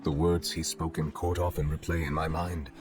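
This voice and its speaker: A man voicing a dignified, reserved chief justice in an anime game, speaking formally in a measured, humble manner. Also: very deep voice, flat delivery. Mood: regretful, pensive. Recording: background music under the voice.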